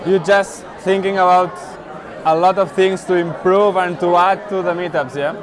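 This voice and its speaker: A man's voice: sits high, fairly even intonation, moderate pace. A man working as an interviewer, talking casually in a busy indoor venue. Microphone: clip-on lapel. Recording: busy crowd chatter behind.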